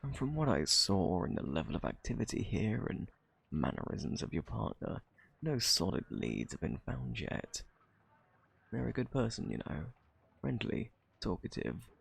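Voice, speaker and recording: Man acting a gravelly, flirty British thief. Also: lowish voice, sing-song, a bit bright, exaggerated voice. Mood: smug, teasing. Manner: playful, taunting, drawn-out theatrical delivery. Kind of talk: ASMR roleplay, intimate scripted monologue.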